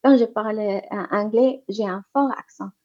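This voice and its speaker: A woman's voice: mid-range voice, normal speed. A woman answering interview questions about women's entrepreneurship, speaking hesitantly with many fillers and restarts. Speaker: interview guest, economic development representative. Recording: muffled lo-fi sound.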